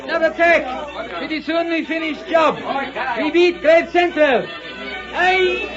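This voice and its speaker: High-pitched excited railroad laborer. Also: male voice, a little slow. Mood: excited, urgent. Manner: shouting in clipped broken English. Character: excited Chinese railroad work-gang laborer, broken English. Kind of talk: 1940s radio western acting. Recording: muffled old radio, loud music and crowd.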